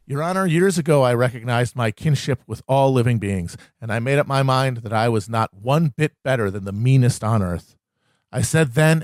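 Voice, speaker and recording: A man's voice: medium pitch, a little mellow, no echo, studio quality. A man giving a solemn, oratorical reading of a historical political speech.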